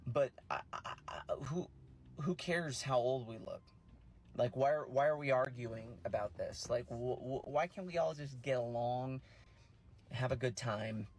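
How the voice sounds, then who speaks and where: A man's voice: medium pitch, unhurried. A man in his late thirties talking casually, repeating words as he makes a plea. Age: late 30s. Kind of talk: casual rant to camera.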